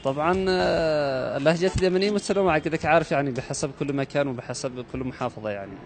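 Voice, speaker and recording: A man from Amran with a Yemeni accent answering street questions in a relaxed, hesitant way. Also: fairly high pitch, fast, faint music behind. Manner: relaxed, hesitant with many fillers. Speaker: passerby interviewee.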